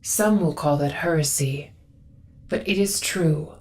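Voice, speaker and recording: A woman playing a devout leader of a faith in a video game, speaking in a measured, earnest, formal way. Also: deep voice, a little mellow. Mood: solemn conviction. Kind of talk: dramatic video game acting.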